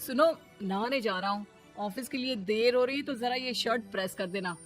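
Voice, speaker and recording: A man's voice: extremely high pitch, normal speed, soft background music. A man voicing an exasperated young husband in a cartoon story, nagging and complaining. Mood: brisk, instructing.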